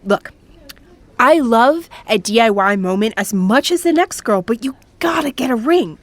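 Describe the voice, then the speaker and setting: Feisty young woman, high animated voice. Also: normal speed, no room sound. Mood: sassy. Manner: sassy, teasing, blunt. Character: feisty, flippant young woman, prince's brash friend. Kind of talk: modern audio drama comedy acting.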